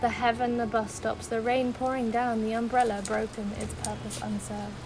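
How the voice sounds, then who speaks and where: A woman with a fairly high voice reads a spoken word poem steadily, keeping her pitch almost flat. Music plays clearly beneath her. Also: moderate pace, poor audio. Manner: even, steady recital. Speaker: poetry reader. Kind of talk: spoken word poetry reading. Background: soft music.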